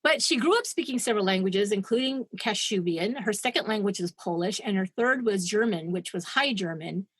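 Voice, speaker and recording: A woman's voice: medium pitch, lively intonation, brisk, hardly any pauses, a bit bright, slightly rough, no room sound. A woman co-hosting a podcast, retelling a true-crime story in a chatty, digressive way and laughing at her own asides. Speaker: podcast co-host.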